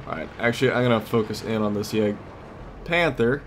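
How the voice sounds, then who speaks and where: Low-voiced man commentating on a video game. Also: a little fast. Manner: thinks aloud in short tactical remarks. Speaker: gamer, host of a let's play series. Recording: clear background game noise. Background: vehicle and battle sounds of a tank game.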